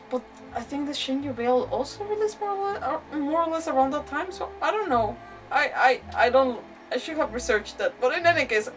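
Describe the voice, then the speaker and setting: A woman gives casual live commentary while streaming a game. Her voice is somewhat high, rambling and emotive, a little off the microphone, with faint music behind her. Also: fairly bright tone, distant mic, rough recording.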